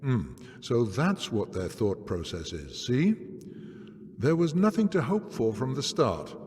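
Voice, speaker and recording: An actor playing a cynical, dismissive man in a video game cutscene, speaking in a theatrical, deliberate way. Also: medium pitch, sing-song, a little mellow. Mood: coolly musing. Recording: long hall-like reverb.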